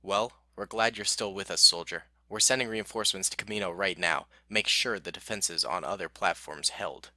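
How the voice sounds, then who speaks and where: A man's voice: low voice, moderate pace, few pauses, bright, forceful tone, no room sound, voice pushed down. A man voicing a decisive male clone commander who gives clipped military orders. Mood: relieved.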